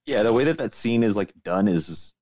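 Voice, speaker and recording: A man's voice: fairly low pitch, lively intonation, normal speed, a little mellow, no echo. A man chatting casually as a podcast host, swearing freely and giving opinions with plenty of hedging. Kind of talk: casual chat, profane.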